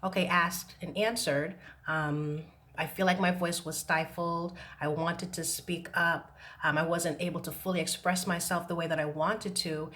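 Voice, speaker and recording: A woman talking to camera about self-healing, hesitant with many pauses and restarts, her voice still a little rough from laryngitis. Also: fairly low pitch, steady tone, fairly bright tone. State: recovering from laryngitis, slight roughness. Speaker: self-help vlogger. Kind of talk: reflective talk to camera. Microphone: camera mic.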